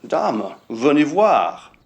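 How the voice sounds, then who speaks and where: A man's voice: on the high side, big pitch swings, drawn-out words, creaky, highs cut off, full character voice. Man acting a plain-spoken working coachman. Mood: resigned. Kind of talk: student radio play acting.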